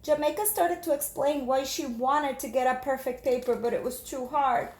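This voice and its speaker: A woman with a high voice reads a children's storybook aloud like a teacher. She is expressive and instructive, addressing young listeners directly. The recording has a noticeable room echo. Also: moderate pace. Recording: echoey room.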